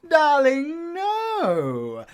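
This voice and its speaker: Slow, smug British werewolf villain. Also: male voice, very high voice, huge pitch swings, exaggerated voice. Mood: smug, mocking. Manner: theatrical, mocking, drawling with long pauses. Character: flamboyant, sadistic, smug male werewolf villain. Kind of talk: audio roleplay acting.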